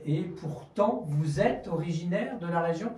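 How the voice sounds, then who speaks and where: A man interviews guests live on radio with hesitant, halting questions. His voice sits a little high and soft-edged, heard slightly off the mic in a roomy space. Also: animated, distant mic, slight background noise. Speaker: radio host interviewing athletes.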